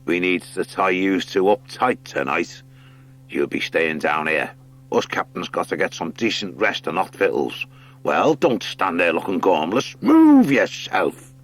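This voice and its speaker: A bullying sea rat captain from an animal tale, played by a man. He is coarse, cruel and bossy, pitched low with sharp swings. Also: very animated, a little slow, heavily acted. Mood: bullying, impatient. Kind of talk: audiobook character acting.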